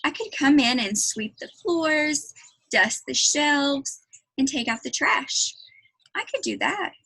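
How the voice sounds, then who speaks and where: A woman's voice: high-pitched, lively intonation, slow, creaky, bright, forceful tone, no room sound, exaggerated voice, low-quality recording. A woman voicing an earnest, polite young preacher's daughter in a storybook, pleading eagerly with folksy phrasing. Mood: bargaining.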